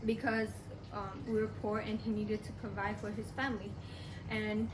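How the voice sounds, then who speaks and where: Young woman from Burma, echoey distant mic. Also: fairly high pitch. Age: young adult. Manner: halting, with frequent pauses and restarts. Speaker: college student presenter. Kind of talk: class presentation. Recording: echoey room, distant mic, background noise.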